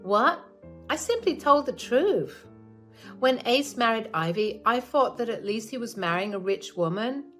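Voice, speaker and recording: Woman reading a spiteful, entitled mother-in-law, pitched up, scornful, lively. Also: unhurried, faint music behind.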